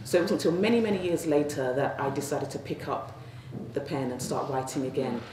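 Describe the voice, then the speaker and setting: A woman on a festival panel, speaking thoughtfully and personally about writing, slowing on key phrases. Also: sits low, animated, dark tone, slight background noise. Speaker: panelist, novelist. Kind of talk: reflective panel talk. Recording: echoey room, mic at a distance, faint ambience.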